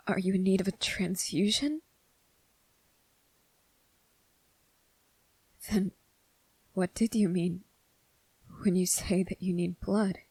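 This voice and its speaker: A woman voicing a gentle, earnest young male soldier in a soft-spoken, halting ASMR roleplay. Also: mid-range voice, slightly rough, no room sound, poor audio. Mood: concerned, confused. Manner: hesitant, halting, repeating phrases, earnest.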